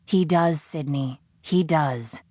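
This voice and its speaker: A woman's voice: deep voice, takes it slowly, dark tone, no room sound. A woman voicing a condescending, sanctimonious captor who interrogates a prisoner in an audiobook. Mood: preachy, coaxing. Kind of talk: audiobook character acting.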